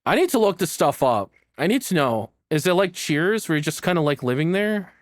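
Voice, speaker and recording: A man's voice: a little high, sing-song, fairly quick, no echo, studio quality. A man hosting a reaction video, giving off-the-cuff commentary with rambling, jokey, slangy asides.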